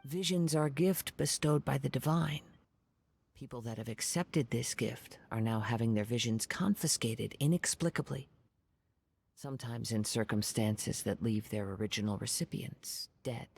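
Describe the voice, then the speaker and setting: A man voicing a soft-spoken, contemplative young swordsman in a video game, formal and polite in his measured phrasing. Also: mid-range voice, creaky, husky. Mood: pensive, solemn. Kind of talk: scripted video game character dialogue. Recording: background music.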